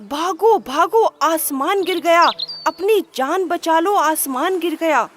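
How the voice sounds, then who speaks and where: A woman's voice: high-pitched, animated, slow, a bit bright, a little hiss or hum, exaggerated voice. A woman voicing a panicky young male rabbit in a storybook, talking in frantic, urgent bursts.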